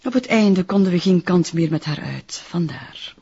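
A stern, discreet Flemish nun in charge of a convent in a detective drama. She speaks formally and carefully in a somewhat breathy, husky voice. The recording is a little muffled. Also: fairly low pitch, expressive, normal speed, mellow, exaggerated voice. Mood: measured, confiding. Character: guarded, stern female convent director, a nun. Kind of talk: naturalistic detective drama dialogue.

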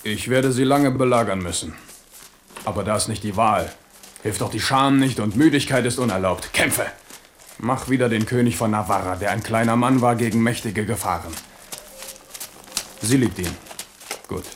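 A man's voice: fairly low pitch, moderate pace, long pauses, close to an everyday voice. An actor in a German radio drama playing an aging, battle-hardened king who is stubborn and determined in his courting. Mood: brooding, determined. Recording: older studio radio recording with hiss.

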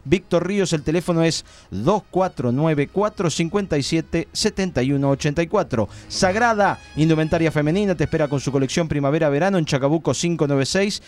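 An Argentine man hosting an informal live radio interview, talking in a halting, conversational way and repeating himself. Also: a little high, darkish tone. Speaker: radio host interviewing theatre guests.

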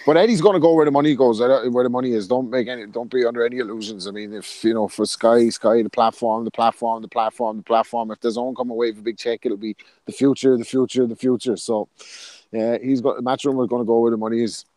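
A man's voice: medium pitch, steady tone, fast, dark tone, dry recording. A man co-hosting a casual podcast, cracking jokes and laughing at his own quips. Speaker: podcast co-host. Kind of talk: casual podcast chat about boxing.

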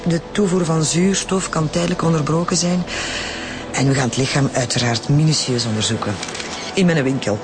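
A woman's voice: low-pitched, normal speed, background noise, close to an everyday voice. A woman with a Flemish accent playing a breezy, droll forensic doctor in a radio drama. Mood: droll, brisk. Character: breezy, joking female forensic doctor, Veronique Maas.

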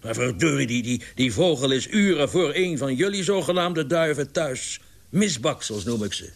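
A man's voice: lowish voice, expressive, normal speed. A man voicing a big, boisterous, doting pigeon owner in a storybook, exclaiming and coaxing with plaintive indignation. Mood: blustering.

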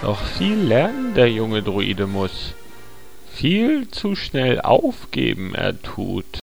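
An actor playing a muddled old male druid trainer who speaks with inverted word order and a gravelly voice. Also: mid-range voice, wildly swooping pitch, slow, faint music behind, heavily acted. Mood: sage.

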